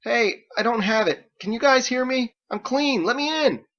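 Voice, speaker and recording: A man voicing a nervous, awkward, defensive guy with plain, halting everyday speech for an audiobook. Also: very high-pitched, expressive, a bit bright, dull audio, voice pushed up. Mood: pleading.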